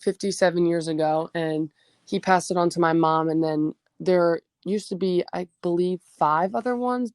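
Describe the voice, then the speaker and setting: A teenage girl from New Jersey, a high school basketball player, answering interview questions casually with many fillers; her first language was Greek. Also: no room sound, studio quality. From New Jersey, USA. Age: teens.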